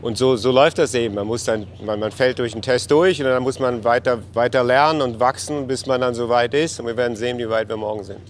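Male coach from Berlin, halting interview answers, faint traffic behind. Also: medium pitch, fairly even intonation. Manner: hesitant, many fillers and restarts. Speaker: national basketball coach. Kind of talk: news interview.

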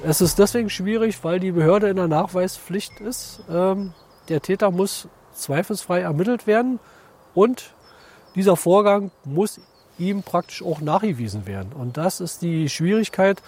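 A man with a high-pitched voice gives an interview outdoors in a forest. He explains things step by step, often padding his sentences with filler words. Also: expressive, normal speed, darkish tone, husky. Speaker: forestry official. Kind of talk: on-camera interview.

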